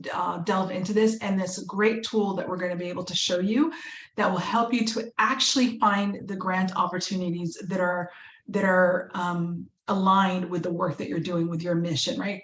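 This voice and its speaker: A middle-aged woman from Uganda leading a live online fundraising workshop, upbeat and encouraging, often pausing with fillers and restarting her sentences. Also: medium pitch. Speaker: workshop presenter and fundraising instructor. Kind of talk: live webinar teaching. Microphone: video call. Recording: echoey room with faint ambient noise.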